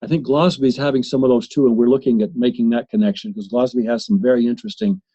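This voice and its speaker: A man presenting a software demo in a webinar, talking haltingly with many fillers and restarts. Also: medium pitch, expressive, gravelly, slightly rough. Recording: slightly muffled webinar audio.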